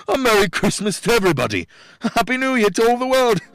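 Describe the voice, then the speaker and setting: An actor playing an excitable old miser in a radio play, theatrical and stammering. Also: male voice, very high-pitched, normal speed, dry recording, full character voice. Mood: giddy joy.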